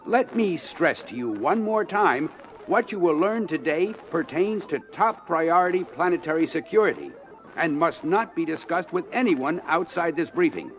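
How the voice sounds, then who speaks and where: An actor playing a stern, authoritative planetary defense minister in an audio adventure, speaking in formal, measured, emphatic oratory. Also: male voice, fairly high pitch, expressive, soft background music, lightly acted, rough recording. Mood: stern, grave. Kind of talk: audio adventure record acting, military briefing speech.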